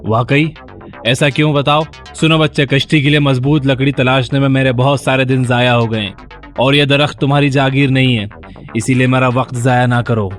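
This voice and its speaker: An impatient, scornful woodcutter from a fairy-tale cartoon, acted by a man. He blusters dismissively in a medium-pitched voice, with music playing underneath. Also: steady tone, lightly acted. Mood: gruff, impatient. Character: gruff, impatient male woodcutter, scornful but gullible.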